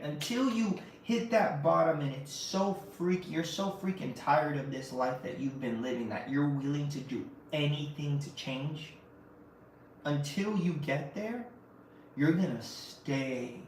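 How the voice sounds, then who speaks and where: A man's voice: a little high, animated, measured pace, a little hiss or hum. A man hosting a podcast, giving an impassioned faith-based motivational talk and driving points home with repeated phrases and rhetorical questions. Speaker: podcast host. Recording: very echoey room, mic at a distance.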